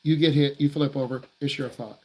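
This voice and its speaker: A man's voice: medium pitch, steady tone, a little fast, a little mellow, rough recording. A man who works as a driving instructor answers viewer questions on a live stream in a blunt, emphatic way. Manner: blunt, emphatic, repeats himself for stress.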